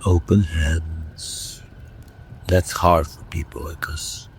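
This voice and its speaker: A man playing a blunt, brooding young werewolf in a whispered audio drama, talking in slow, hushed tones. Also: low voice, level tone, a little hiss or hum. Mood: wry.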